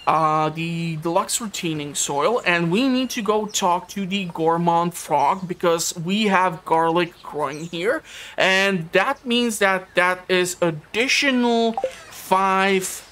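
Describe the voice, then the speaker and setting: Low-voiced woman narrating her gameplay. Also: slow pace, a bit bright, a little hiss or hum. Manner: rambling, stumbles and repeats words, joking asides. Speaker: gameplay commentator. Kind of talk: casual let's-play commentary. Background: game soundtrack.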